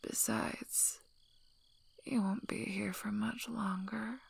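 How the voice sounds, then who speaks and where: A tough female werewolf alpha, acted by a woman in an ASMR roleplay, speaking softly in a husky, breathy near-whisper. She is slow and gravelly, dryly teasing and gruffly protective. The tone stays very level. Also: mid-range voice, steady tone, heavily acted. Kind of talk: soft-spoken ASMR roleplay.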